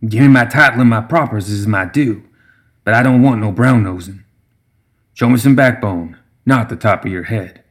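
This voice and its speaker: An ancient gremlin brewmaster, acted out by a male narrator, gruff and proud. He talks slowly in a folksy dialect, like a backwoods moonshiner in a fantasy tale. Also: on the low side, natural voice. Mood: sharp.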